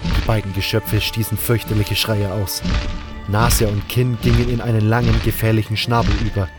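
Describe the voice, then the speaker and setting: A man's voice: fairly low pitch, little pitch movement, normal speed. A man narrating a fantasy audiobook in a dramatic, scripted storytelling style. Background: music.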